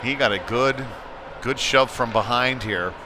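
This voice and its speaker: A man commentates live on a hockey game at an ordinary male pitch. His delivery is conversational and can lift into excitement as play develops. Clear noise from the sports venue sits behind his voice. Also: a little slow.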